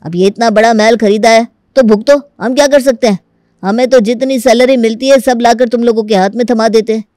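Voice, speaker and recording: A woman voicing a bold, scheming young family man in a Hindi animated story, exasperated and persuasive in a colloquial way. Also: on the high side, a little fast, no echo, studio quality, lightly acted. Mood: exasperated, sarcastic.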